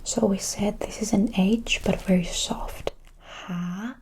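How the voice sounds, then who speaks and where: Woman whispering a slow, encouraging lesson. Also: mid-range voice, fairly even intonation, darkish tone. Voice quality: breathy whispering. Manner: slow, encouraging, repeating words syllable by syllable. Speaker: Korean language teacher. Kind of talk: whispered ASMR language lesson.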